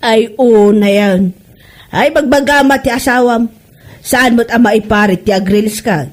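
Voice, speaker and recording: Woman playing gossipy neighbour for village comedy drama, Ilocano accent, higher register, teasing and talkative. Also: moderate pace, lightly acted. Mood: sympathetic.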